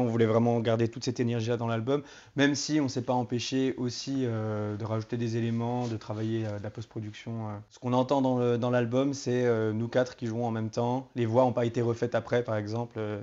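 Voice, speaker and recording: A man's voice: mid-range voice, dark tone. Man chatting in interview, slightly muffled. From Turkish family background. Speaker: band musician being interviewed. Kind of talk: relaxed interview conversation.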